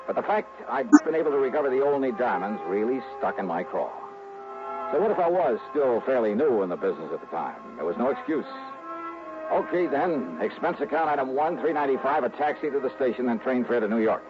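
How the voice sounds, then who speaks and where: An actor playing a tough, dogged American insurance investigator in a 1960s radio drama, clipped, brusque and slangy. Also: male voice, medium pitch, very animated, a little fast, music in the background, close to an everyday voice. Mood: wry, brisk. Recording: noisy, narrow-band old radio recording.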